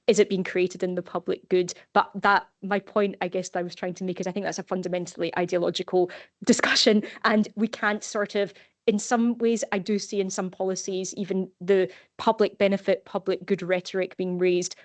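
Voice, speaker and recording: A woman's voice: mid-range voice, level tone, brisk. A woman answering a question in an online seminar, thoughtful and hedging, often restarting her sentences. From Scotland. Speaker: seminar speaker. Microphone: video call.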